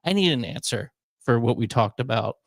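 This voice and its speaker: A man's voice: medium pitch, expressive, normal speed, gravelly, dry recording, clean studio sound. A man hosting a radio show, talking in casual conversation. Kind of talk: casual chat, airing workplace grievances.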